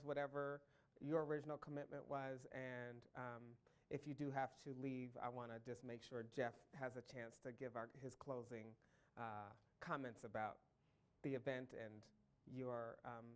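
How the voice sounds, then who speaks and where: A man's voice: mid-range voice, fairly bright tone, clear, resonant tone. A man, a professor answering audience questions at a public lecture, speaking in long, careful, thought-out sentences. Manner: measured, thinking aloud in long careful sentences.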